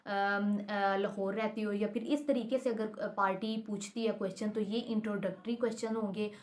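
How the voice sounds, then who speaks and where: A woman's voice: medium pitch, steady tone, normal speed. A woman with a Pakistani accent explaining step by step in a lecture style, hesitating and repeating herself as she teaches. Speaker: law lecturer.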